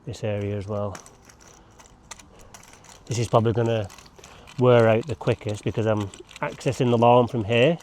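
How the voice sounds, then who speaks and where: A man's voice: lowish voice, steady tone, normal speed, pauses often, a little mellow, a little hiss or hum. A man chatting casually to camera while working outdoors, rambling with joking asides. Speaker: lawn care vlogger. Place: outdoors on a lawn.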